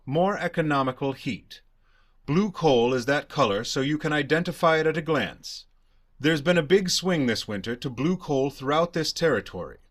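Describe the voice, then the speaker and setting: A man announcing in the style of a 1930s radio advertisement, with a formal, emphatic sales delivery. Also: a little high.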